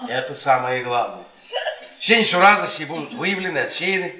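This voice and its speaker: An actor playing a bitter, sardonic middle-aged history professor, delivering ironic, halting rhetoric in a theatrical radio play. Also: male voice, a little high, expressive, bright, forceful tone. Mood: ironic lecturing. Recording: roomy stage sound with audience noise.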